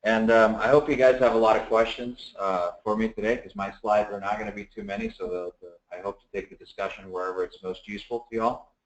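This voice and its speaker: Low-voiced man giving a seminar talk. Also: fast, few pauses, dull audio. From Dominican Republic. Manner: relaxed, many fillers and restarts. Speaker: guest speaker. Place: seminar room, echoey. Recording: echoey room.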